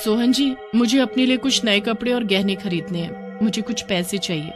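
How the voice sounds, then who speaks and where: A woman voicing a charming, confident young woman in a story, in a light, expressive manner. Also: mid-range voice, moderate pace, over music, lightly acted. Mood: coaxing. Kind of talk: dramatised Hindi storytelling.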